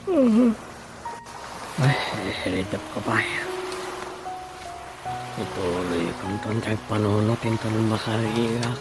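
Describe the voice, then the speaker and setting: A man with a Filipino accent acting an excitable, scheming villager who exclaims and mutters in a comedy radio drama. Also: lowish voice. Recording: radio drama with music underneath.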